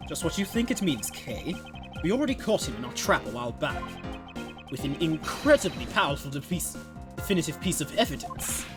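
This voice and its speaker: A performer playing a proud, eloquent male prosecutor in a game fan dub, formal, deliberate and condescending. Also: medium pitch, big pitch swings, over music, lightly acted. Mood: confident.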